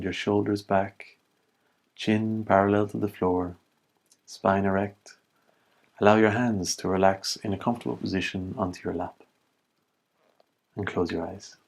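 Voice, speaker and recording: A man with a low voice guides a body scan meditation. His delivery stays flat and even, with little rise or fall. He speaks as a calm instructor, setting out each instruction in short, measured phrases with space between them. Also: vocal fry.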